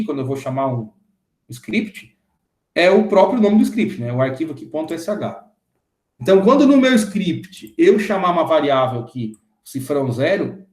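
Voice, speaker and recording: Man in his thirties, a programming teacher with a slightly high voice, explains step by step, echoey room sound. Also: a little mellow. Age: 30s. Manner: explains step by step, often checking in with the class. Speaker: programming instructor. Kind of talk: screen-recorded coding lesson.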